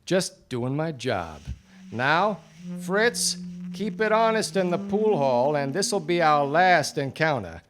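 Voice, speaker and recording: An actor playing a shrewd, courteous 1940s private detective in a live radio play, explaining things in a dry, measured, theatrical way. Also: medium pitch, very animated, noisy background. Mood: kindly wry. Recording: live stage recording.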